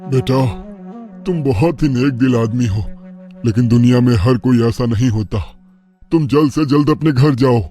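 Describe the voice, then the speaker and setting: A man's voice: medium pitch, normal speed, mellow, faint music behind, natural voice. A man playing a kindly older innkeeper in a cartoon moral story, speaking in an earnest, advising way. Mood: fatherly, earnest. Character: kind fatherly older male innkeeper giving advice. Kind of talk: Hindi animated moral story dialogue.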